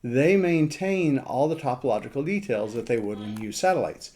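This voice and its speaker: A man with a relaxed, mellow voice at a middling pitch presents a casual screen walkthrough. He thinks aloud as he goes, in a halting, conversational way. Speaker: amateur radio operator presenting a map demo.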